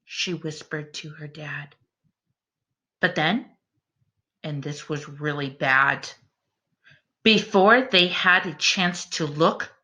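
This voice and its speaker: Woman, children's storytime teacher: bright, clear-cut delivery, unhurried and expressive, speaking straight to young listeners. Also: a little high. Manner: expressive, deliberate, addressing young listeners directly. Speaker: teacher reading a picture book aloud to children. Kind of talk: animated children's read-aloud with questions to listeners.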